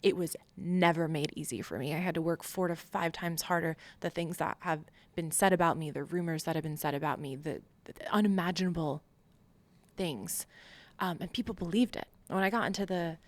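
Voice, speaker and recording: A woman's voice: fairly low pitch, steady tone, brisk. An American woman giving a candid, emphatic personal account as an interview guest, acting out remembered remarks. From Long Island, New York, USA. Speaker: podcast guest, military veteran.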